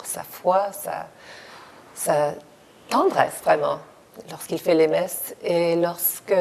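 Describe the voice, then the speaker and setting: A woman hosting a community TV interview, talking in a warm, enthusiastic, chatty way. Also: on the low side. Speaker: TV host.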